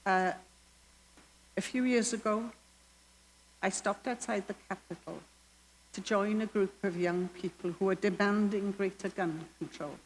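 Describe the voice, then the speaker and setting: An older woman with a Welsh accent, leading worship and telling a story in an unhurried way with slow, emphatic phrases. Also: medium pitch, fairly even intonation. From Wales. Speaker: guest worship leader. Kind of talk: reflective sermon talk. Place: church sanctuary. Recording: long church reverb, faint hiss.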